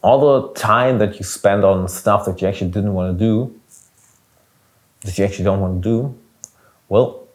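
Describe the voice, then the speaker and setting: A man's voice: on the low side, moderate pace, long pauses. A young man with a German accent, hosting a casual motivational podcast in an earnest, emphatic way with some swearing. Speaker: podcast host and business coach.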